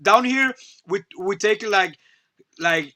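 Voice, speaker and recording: Colombian man, high bright voice, halting English. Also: animated. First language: Spanish. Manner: stammering, repeats words while searching for English. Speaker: guest toy reviewer. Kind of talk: casual chat on a live stream.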